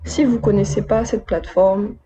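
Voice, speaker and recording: A woman's voice: mid-range voice, fairly even intonation, normal speed, warm tone, slightly rough, rough recording. A woman raised in Côte d'Ivoire and France, giving casual spoken commentary to her viewers with chatty, wry, slangy asides. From Madagascar, raised in Côte d'Ivoire and France. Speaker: video essayist.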